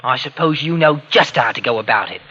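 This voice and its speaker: An actor playing a bitter, suspicious young working-class English nephew in a 1940s radio drama, sarcastic and sullen. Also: male voice, mid-range voice, animated, a little fast, sharp tone, husky. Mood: suspicious, accusing. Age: young adult. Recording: dull old 1940s radio recording.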